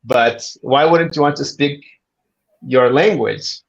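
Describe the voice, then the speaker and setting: Brief: friendly Zambian man in his forties, easygoing storyteller, mid-pitched voice, dull lo-fi sound. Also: slow pace, creaky. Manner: relaxed, friendly storytelling with asides. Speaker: guest. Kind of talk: casual interview chat.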